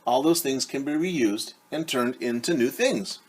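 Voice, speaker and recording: A man's voice: mid-range voice, measured pace, breathy edge. A middle-aged man, a teacher, reading a picture book aloud to children and explaining things simply. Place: small room at home.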